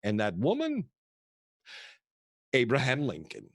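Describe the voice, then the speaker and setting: An actor playing a kooky old grandfather who tells rambling, theatrical, halting stories to his grandchildren in a comedic audio play. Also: mid-range voice, huge pitch swings, no room sound, clean studio sound, heavily acted. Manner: theatrical, wistful, halting storyteller.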